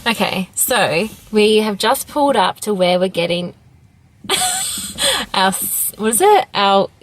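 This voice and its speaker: A woman's voice: medium pitch, lively intonation, slow, poor audio. A woman vlogger chatting casually in an informal, sweary, giggly way. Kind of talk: casual vlog chat.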